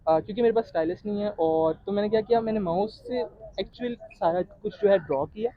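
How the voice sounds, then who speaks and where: A man's voice: sits high, quick pace, dry recording, lo-fi sound. A young man of about nineteen with a Pakistani accent, talking casually as an interview guest and mixing in English. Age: late teens, 19.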